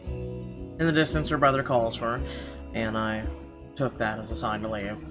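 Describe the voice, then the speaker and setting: A man reading a visual novel aloud as dramatic first-person narration, expressive with occasional stumbles. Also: medium pitch, normal speed. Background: guitar music.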